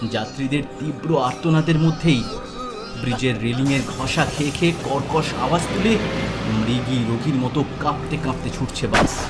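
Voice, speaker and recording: A man narrating a scripted horror story with intense, suspenseful, dramatic delivery. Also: medium pitch. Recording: loud ambient noise behind the voice.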